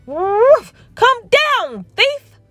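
A woman voicing an eager young male farm dog who yelps in shrill, excited shouts. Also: very slow, no room sound, soft background music, exaggerated voice.

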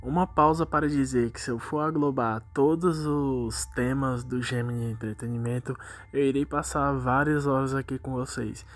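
A man's voice: mid-range voice, fairly even intonation, moderate pace. A Brazilian man hosting an online video, narrating an informal video essay on horror series. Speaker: YouTube host.

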